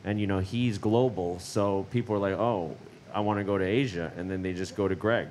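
A man's voice: low voice, steady tone, fairly quick, a little mellow, slight background noise. Laid-back man chatting on a panel. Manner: laid-back, lots of "you know". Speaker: gallery founder, panelist. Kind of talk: casual panel chat. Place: large gallery, indoors. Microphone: handheld mic.

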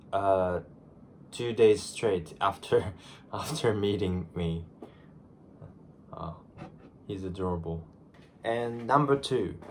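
A man's voice: fairly low pitch, a little mellow, slight background noise. A Japanese man in his twenties with a Japanese accent, chatting casually to camera in his bedroom, groggy from just waking up. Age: 20s. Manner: chatty, self-deprecating, restarts phrases. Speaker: vlogger. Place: small bedroom, indoors. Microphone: camera in front at desk.

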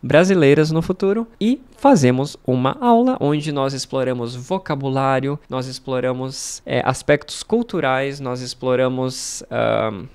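Man, thirties, Brazilian accent, teacher vlogging at home, mid-pitched, clear resonant voice, lively hand-waving delivery. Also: unhurried, hardly any pauses. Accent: Brazilian Portuguese. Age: 30s. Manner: animated, gestures a lot with both hands, laughs between phrases. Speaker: language teacher and channel host. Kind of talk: casual talking-head vlog. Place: home room with bookshelves. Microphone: studio mic on a stand in frame.

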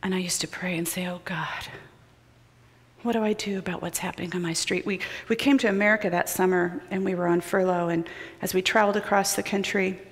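A middle-aged woman preaching a sermon built on personal stories, shifting between loud urgency and hushed near-whispers. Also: fairly low pitch, fairly even intonation, a little fast. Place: large church sanctuary with choir loft. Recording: long hall reverb of a large sanctuary.

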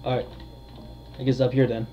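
A man giving casual, hesitant gameplay commentary with frequent pauses. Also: medium pitch, flat delivery. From United States. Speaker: gamer, video creator. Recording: dull lo-fi mic, faint noise.

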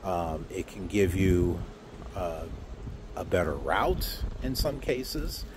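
A man's voice: sits low, sing-song, slow pace, noisy background. A middle-aged man vlogging outdoors into a phone held at arm's length, rambling sarcastically with lots of fillers. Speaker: trucking vlogger. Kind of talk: casual outdoor vlog rant. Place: outdoors by a block wall.

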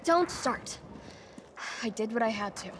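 A woman's voice: a little high, lively intonation, a little slow, noisy background. A woman playing a tough, defiant young survivor in a video game, blunt and resolute. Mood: terse, defiant. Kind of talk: video game cutscene acting.

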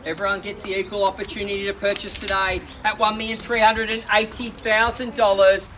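A man with an Australian accent working as an auctioneer, calling bids at a live property auction on a suburban driveway outdoors and pressing the bidders to act quickly. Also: high-pitched, flat delivery, pushed and bright, a little hiss or hum. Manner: brisk, persuasive, pushing bidders to act.

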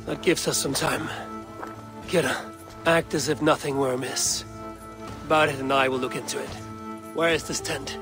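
An actor playing a watchful Viking warrior in a video game, terse and commanding. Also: male voice, medium pitch, fairly even intonation, normal speed, music in the background, lightly acted. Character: steady, watchful Viking warrior man investigating trouble.

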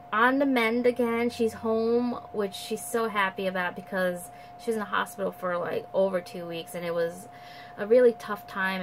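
A woman's voice: medium pitch, a little hiss or hum, rough recording. A young woman with a nasal voice chatting casually to camera in her bedroom, rambling with fillers and restarts. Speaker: vlogger. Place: bedroom at home, indoors. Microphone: camera at close range.

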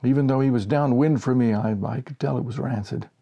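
An American man in his sixties reading a humorous short story aloud in an expressive storyteller's way. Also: mid-range voice, a little fast, creaky, lo-fi sound. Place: small home office, indoors.

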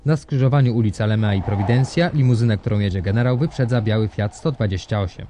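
An actor playing a formal, measured newsreader and political interviewer in a scripted drama. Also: male voice, on the low side, warm tone, a little hiss or hum, close to an everyday voice. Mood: urgent reporting. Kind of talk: scripted broadcast announcing in a drama.

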